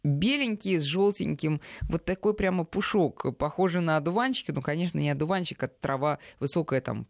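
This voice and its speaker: Female radio presenter, chatty, hoarse and heavily gravelly, dry studio recording. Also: low voice, fairly quick, hardly any pauses, no room sound. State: hoarse, ailing voice. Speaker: radio host.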